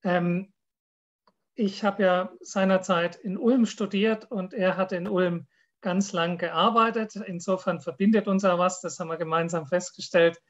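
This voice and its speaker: A man's voice: high voice, steady tone, a little slow, a bit bright. Man hosting, dull lo-fi call audio. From Swabia, southern Germany. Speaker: host introducing a speaker. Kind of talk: informal event introduction. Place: indoor room, on video call.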